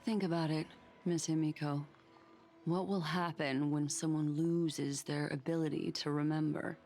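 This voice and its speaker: An actress playing a composed, scholarly and somewhat mysterious woman in a video game, explaining in measured, formal speech. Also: sits low, steady tone, darkish tone, faint music behind. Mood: calm, explanatory. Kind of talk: game dialogue voice acting.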